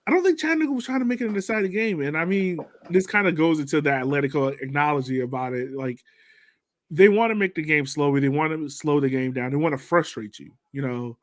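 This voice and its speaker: A man with a fairly high voice hosts a sports podcast. He talks casually in a chatty, slangy, jokey way. The sound is dry, with very little room to it. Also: expressive, quick pace, no room sound. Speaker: podcast host.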